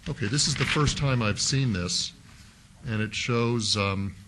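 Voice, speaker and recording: A man's voice: medium pitch, a little slow, background noise. A man on a city council, questioning an applicant at a public hearing in a folksy, methodical way, speaking into a desk microphone. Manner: folksy, methodical, thinks aloud with fillers. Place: council chambers.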